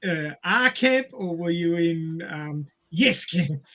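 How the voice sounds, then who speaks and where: A man's voice: on the high side, expressive, slow, fairly bright tone. A man chatting casually about film as a podcast panelist, with a wry, jokey way of talking.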